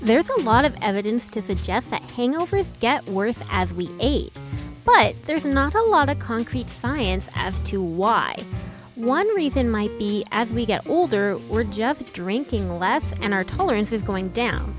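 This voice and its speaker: A woman's voice: on the high side, sing-song, unhurried, music in the background. A woman narrating an explainer segment in a chatty, personal way. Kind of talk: scripted narration.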